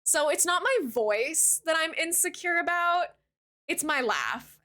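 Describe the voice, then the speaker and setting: Bright high-voiced chatty woman streamer. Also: expressive, measured pace. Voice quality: high-pitched voice. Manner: chatty, rambling, many "like" fillers; warm toward chat, thanks new followers. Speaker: Twitch streamer. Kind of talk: casual live-stream chat while playing a game.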